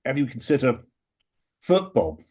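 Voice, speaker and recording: A British man delivering a careful, lecture-style argument in a middle-pitched voice. He stresses key words with sharp upward lifts, then drops low and quiet for wry, dry asides. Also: big pitch swings, measured pace. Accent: British English. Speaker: panelist in an academic book discussion. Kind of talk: prepared philosophy talk.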